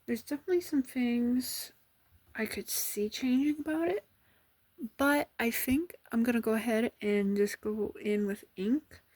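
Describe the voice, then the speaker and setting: A woman artist chatting softly in an ASMR video, thinking aloud about her work as she draws. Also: a little high, slightly rough. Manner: thinking aloud, unhurried and self-reflective. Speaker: artist and channel host. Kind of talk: soft-spoken ASMR chat while drawing.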